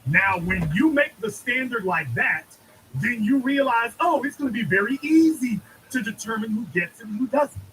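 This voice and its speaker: A man's voice: extremely high pitch, expressive, normal speed, fairly bright tone, slight background noise, poor audio. A man giving an emphatic political monologue, preaching and repeating lines for effect. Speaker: commentator.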